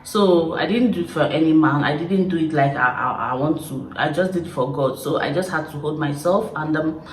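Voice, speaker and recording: A young woman from Nigeria with an Igbo accent, casually telling stories to camera in an expressive, chatty way. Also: deep voice, moderate pace, echoey room, a little hiss or hum, poor audio. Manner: chatty, expressive, laughing storyteller. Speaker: YouTuber. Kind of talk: casual confessional chat to camera.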